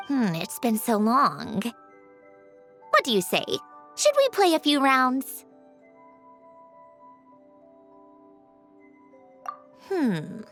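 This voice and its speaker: A woman voicing a self-assured, brisk yet reflective female automaton in an English video game. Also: high voice, a bit bright, exaggerated voice. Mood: nostalgic, confident. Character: female created automaton, wistful, bossy, proud, fond of puzzles.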